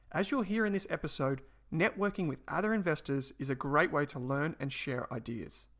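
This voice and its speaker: A man reads his podcast's welcome in a polished, announcer-like way. His voice sits a little high and carries a gravelly fry. A faint music bed plays behind him. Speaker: podcast host. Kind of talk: scripted intro, then interview questions.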